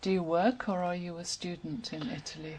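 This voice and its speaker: A woman examiner reads out questions and task instructions in a measured, even way. Her voice is slightly low and husky. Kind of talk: reading exam prompts.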